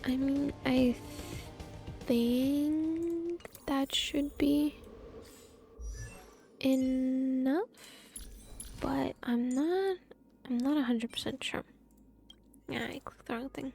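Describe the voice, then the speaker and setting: High-pitched woman streaming games, unhurried. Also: a little mellow, faint music behind. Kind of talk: casual live game stream commentary.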